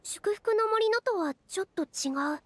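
A woman voicing a gentle, considerate young magical heroine in an anime-style game, careful and polite. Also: extremely high pitch, lively intonation, moderate pace, no echo. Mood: careful, pondering.

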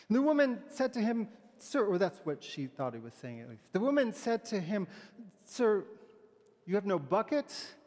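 A middle-aged man, a pastor giving a sermon and readings in a gentle, halting, informal way. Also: fairly high pitch. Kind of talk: sermon with poem and scripture readings, conversational. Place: large church sanctuary, indoors, at a pulpit. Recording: echoey church hall, long reverb.

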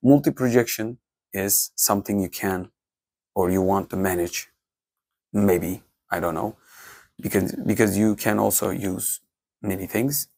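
A man, a tech reviewer giving an off-the-cuff walkthrough of projector menus in a halting way, with many restarts. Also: fairly low pitch, gravelly, a little mellow, husky, no room sound, studio quality. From Turkey. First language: Turkish.

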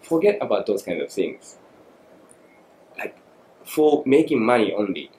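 Japanese man, forties, podcast guest, halting and reflective, echoey studio. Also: fairly low pitch, very animated, darkish tone. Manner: thoughtful, halting, many fillers. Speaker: guest photographer. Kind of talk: podcast interview. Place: small studio room. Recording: echoey room, faint noise.

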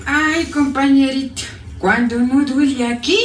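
An actress playing a frail, weary but steadfast old woman with an Ecuadorian highland accent, in a plaintive radio drama style. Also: fairly even intonation, measured pace, slight background noise. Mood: weary, resilient. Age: older. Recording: echoey hall playback, distant, music behind.

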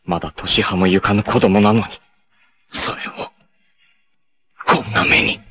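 An actor playing a proud, passionate, fiercely protective martial-arts warrior in an anime, with a dramatic, terse, masculine delivery. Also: male voice, fairly low pitch, steady tone, a little slow. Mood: anguished. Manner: dramatic, terse, masculine, swinging from hushed to shouting.

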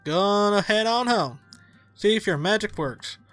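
A man's voice: sits high, lively intonation, a little slow, a bit bright, faint music behind, voice pushed up. A man voicing an awkward young cat woman. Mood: eager. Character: young adult female cat, awkward and restless.

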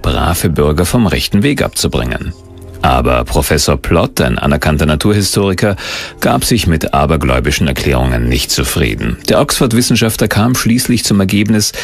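A male documentary narrator with a very low voice that has a gravelly creak. He delivers scripted narration with wide, animated intonation. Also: moderate pace.

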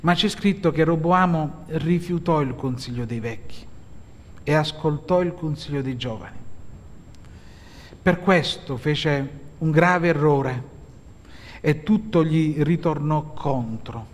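A middle-aged man from Italy preaching a sermon from a pulpit as pastor, slow and measured with pauses and hesitant fillers. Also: a little high. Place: church hall, at a pulpit. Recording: long hall reverb.

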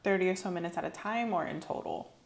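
A woman in her thirties, soft-spoken and methodical, playing a medical examiner in an ASMR roleplay. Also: fairly low pitch, fast. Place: small indoor room set up as an exam room. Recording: clear steady background noise and room ambience.

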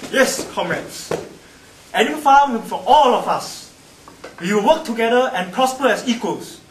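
Casting: young man as earnest animal comrade, very high voice, amateur skit, roomy echo. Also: expressive, a little slow. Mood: idealistic, encouraging. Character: preachy farm animal comrade, protesting under accusation. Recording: echoey room, noisy camcorder sound.

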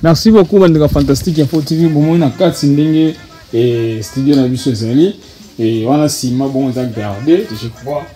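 A man's voice: medium pitch, normal speed. Man reporting outdoors. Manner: animated, switching between Lingala and French. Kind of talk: field report and interview. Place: outdoors on open land.

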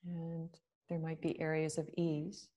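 A woman leading a guided meditation, speaking slowly and gently with long pauses. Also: low voice, completely flat delivery.

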